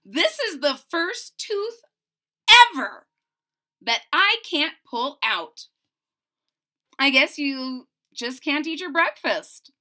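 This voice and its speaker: A woman reading a storybook, voicing a brash, tough biker tooth fairy with loud, emphatic delivery. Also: high-pitched, very animated, takes it slowly, pauses often, voice pushed up, heavily acted. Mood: incredulous.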